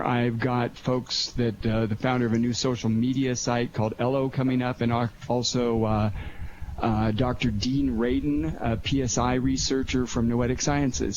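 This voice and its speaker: A man's voice: medium pitch, darkish tone. A man from the United States talking casually and earnestly as an appreciative interview guest. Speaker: guest actor and producer. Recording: dull lo-fi line with clear noise.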